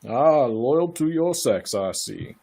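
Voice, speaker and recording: A man playing a brisk, condescending county attorney in a live radio play, officious and patronizing. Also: mid-range voice, very animated, gravelly, warm tone, full character voice.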